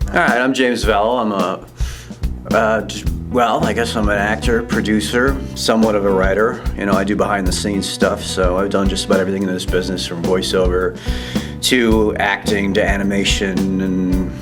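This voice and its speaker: A man's voice: fairly low pitch, flat delivery, moderate pace, over music. A man, an actor and producer, introducing himself in a loose, rambling way. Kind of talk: casual interview.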